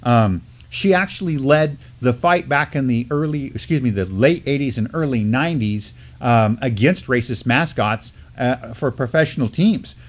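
A man's voice: mid-range voice, lively intonation, normal speed, hardly any pauses. A man in his fifties co-hosting a news talk, telling stories and commenting conversationally in an earnest, emphatic way. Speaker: co-host of a Native news live stream. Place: indoor studio at a desk with a backdrop.

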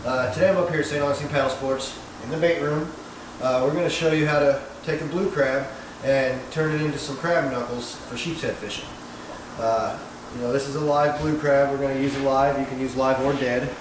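A relaxed man in his thirties walks through a hands-on fishing how-to, unhurried and full of filler words. His voice rings off the hard walls of a small room, with a steady hum running underneath. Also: mid-range voice. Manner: easygoing, many "uh" fillers, step-by-step. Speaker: fishing channel host. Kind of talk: casual how-to demonstration. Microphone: wide camera several feet away. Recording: roomy echo, clear background hum.

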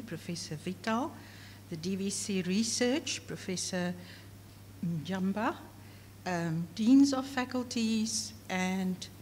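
A woman's voice: mid-range voice, darkish tone. A middle-aged woman whose first language is Afrikaans, giving a formal academic lecture from a prepared text at a podium. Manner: measured, read from a prepared text at a lectern. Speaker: professor giving inaugural lecture. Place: large hall, at a podium. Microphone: podium microphone. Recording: long hall reverb, faint room noise.

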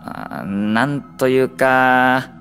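A man's voice: medium pitch, steady tone, fairly bright tone, soft background music. A male actor playing a polite, hesitant alien second-in-command who serves his captain loyally in an anime audio drama. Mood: searching, dry. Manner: polite, measured, deferential.

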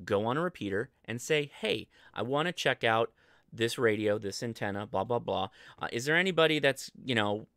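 American male host, forties, for an informal explainer stream; clean, smooth voice, dry close sound. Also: medium pitch, a bit bright, dry recording. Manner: informal, conversational, thinking aloud. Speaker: host of a ham radio show. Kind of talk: casual livestream explainer. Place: indoor home studio.

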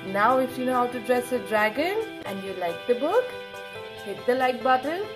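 A woman reading a picture book aloud to children, expressive and playful, drawing out key words for emphasis. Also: fairly high pitch, moderate pace, over music. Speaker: children's storyteller.

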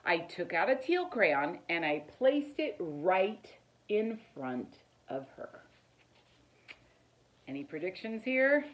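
A middle-aged woman reads a children's picture book aloud in an expressive, deliberate storytelling manner. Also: lowish voice. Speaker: teacher reading aloud. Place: living room, indoors.

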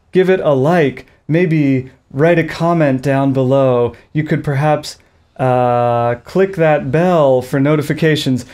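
A man's voice: a little high, a little mellow, clean tone. Playful man hosting a tech tutorial. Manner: playful, goofy asides. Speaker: video tutorial host. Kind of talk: loose, jokey tech tutorial. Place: small studio with a blue backdrop. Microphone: studio mic on a boom arm, close.

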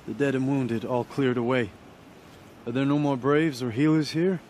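A man's voice: mid-range voice, fairly even intonation, normal speed, darkish tone, a little hiss or hum, lightly acted. A man playing a wounded earnest warrior. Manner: earnest, urgent, solemn.

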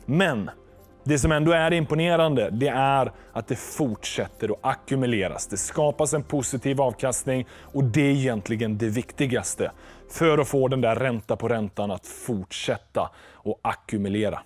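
A man's voice: mid-range voice, faint music behind. A young man explaining investing in front of a chart screen in a studio, speaking steadily into a lapel mic. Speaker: finance educator.

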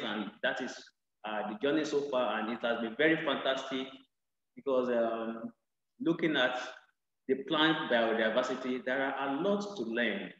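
A man talks loudly in a halting way, with plenty of uhs. His voice rings through a very echoey room with a long reverb, and the sound is muffled and dull. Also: mid-range voice. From Nigeria. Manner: halting, loud, many uhs. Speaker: panelist, research scientist. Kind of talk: panel discussion self-introduction. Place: indoor room, video call.

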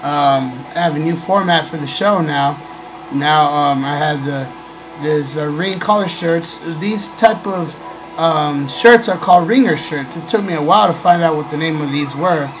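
A young man, hoarse from a cold, hosting a casual webcam vlog from a small bedroom into a desk microphone, pausing often with ums and clearing his throat. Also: a little high, over music. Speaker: host. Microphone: desk microphone on a stand. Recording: noisy home recording.

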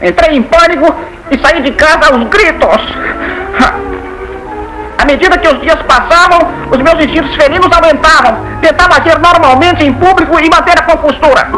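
High-pitched neurotic Brazilian cartoon duck. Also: male voice, moderate pace, rough recording. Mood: anxious recounting. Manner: anxious, pleading, stammering. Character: neurotic male cartoon duck confessing strange cravings. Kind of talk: Brazilian cartoon dub narration. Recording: music behind the voice.

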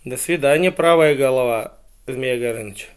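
A man's voice: medium pitch, moderate pace, clean tone, natural voice. Man voicing a very polite singsong little hedgehog. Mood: polite, cheerful. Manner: formulaic, courteous, singsong greetings. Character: very polite little male hedgehog greeting everyone.

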